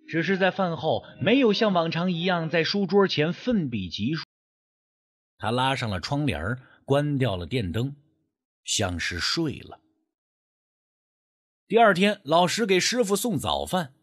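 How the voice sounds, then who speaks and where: Male narrator for suspense fiction: slightly high voice, highly expressive delivery, scripted and dramatic. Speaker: audiobook narrator.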